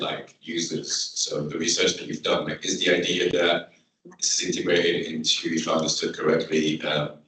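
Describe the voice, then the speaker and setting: A man's voice: low-pitched, bright, forceful tone. A man in the audience asking the presenter a casual, rambling question. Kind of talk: conference question. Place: seminar room. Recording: echoey room from far off.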